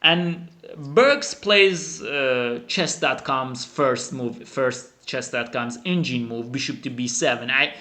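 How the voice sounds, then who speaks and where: A man who is a non-native English speaker gives animated, emphatic chess commentary as a teacher, dramatizing the game. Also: medium pitch, slow pace. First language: not English. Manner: dramatic, emphatic, acts out the players' thoughts. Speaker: chess teacher and channel host.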